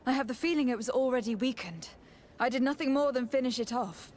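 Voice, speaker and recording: An actress playing a modest, level-headed young noblewoman in a video game, speaking in a measured, formal way. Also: high-pitched, darkish tone, a little hiss or hum, close to an everyday voice. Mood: modest, calm.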